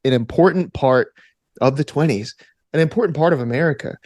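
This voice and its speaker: A man talking casually as a podcast guest, animated, often restarting his sentences and chuckling as he goes. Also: a little high, fairly quick, no room sound, clean studio sound. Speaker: podcast guest, gamer and game master. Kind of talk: casual conversational interview.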